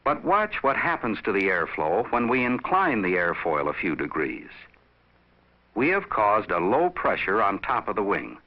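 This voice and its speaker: Deep-voiced instructional narrator, man, dull sound. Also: big pitch swings. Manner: measured, didactic, explanatory. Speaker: training film narrator. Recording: dull vintage training film soundtrack.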